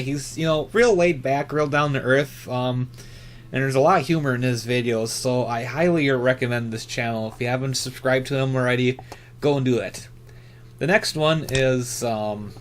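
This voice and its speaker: A man giving casual, unscripted commentary as a hobbyist channel host, rambling and haltingly correcting himself as he goes. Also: mid-range voice, a little hiss or hum.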